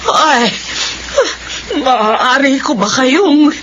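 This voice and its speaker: An actress playing a gentle older aunt in a Filipino radio drama, tender and full of emotion. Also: female voice, high-pitched, extremely slow. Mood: tender wonder. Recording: noisy, slightly dull radio drama recording.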